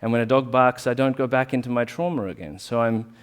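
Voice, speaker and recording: A man's voice: medium pitch, a little fast, clear, resonant tone. A man giving an informal talk to a small audience, earnest and reflective, often restarting his sentences. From Australia. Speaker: talk speaker, rolfer.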